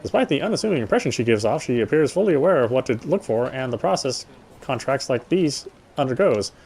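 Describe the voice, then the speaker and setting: A man with a medium-pitched voice reads story text from a game aloud. He is casual about it, drops in his own comments and now and then trips over a line and rereads it. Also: animated, quick pace, a little mellow. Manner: stumbles and rereads lines. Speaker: gamer reading a visual novel aloud. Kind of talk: reading game narration with casual asides.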